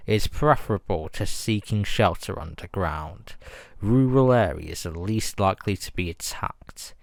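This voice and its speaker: A man reading a scripted emergency broadcast announcement in a formal, measured and grave manner. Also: low-pitched, no room sound, clean studio sound.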